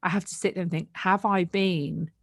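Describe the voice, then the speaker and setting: A woman's voice: fairly low pitch, steady tone, moderate pace, vocal fry, no room sound, studio quality. A woman in her thirties hosting a podcast from home on a big desk microphone, talking earnestly and emphatically. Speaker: podcast host. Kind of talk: casual conversational interview. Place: bedroom at home. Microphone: large desk microphone.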